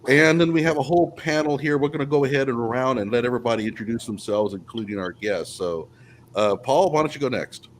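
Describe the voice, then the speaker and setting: A man's voice: mid-range voice, fast talker. A man hosting a live panel podcast, introducing guests in a conversational way. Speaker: podcast host. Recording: clearly noisy room ambience.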